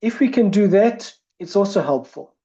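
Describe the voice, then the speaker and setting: A woman's voice: medium pitch, lively intonation, normal speed, warm tone. A woman presenter who stutters, talking reflectively about her speech on a video call. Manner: stutters with repetitions and blocks, thoughtful. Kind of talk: reflective talk. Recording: muffled video call audio.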